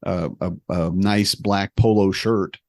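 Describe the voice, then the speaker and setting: A man telling a travel story in casual conversation, animated and poking fun at himself. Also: sits low, slow, no room sound, studio quality. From United States. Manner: animated, self-deprecating, humorous storyteller.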